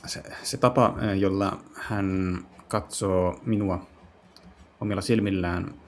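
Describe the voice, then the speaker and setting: A man doing a casual let's play, translating as he goes and haltingly searching for words. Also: sits low, steady tone, a little hiss or hum. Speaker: streamer playing and translating visual novels.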